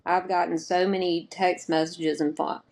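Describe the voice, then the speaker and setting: A woman's voice: fairly low pitch, level tone, normal speed, a little mellow. A woman from Mississippi, speaking as an interview guest in an earnest, plainspoken way. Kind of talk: casual interview.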